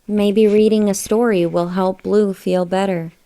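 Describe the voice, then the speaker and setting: A woman's voice: mid-range voice, steady tone, measured pace, mellow. A woman reading a children's storybook aloud, putting questions to young listeners. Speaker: children's book read-aloud narrator. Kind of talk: scripted storybook read-aloud.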